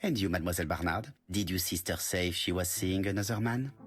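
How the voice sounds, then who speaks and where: A man's voice: low voice, fast, breathy edge, soft background music. A man playing a courteous, self-assured older detective in a video game, with a French accent and formal, precise phrasing. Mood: courteous, probing. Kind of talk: video game dialogue and inner monologue.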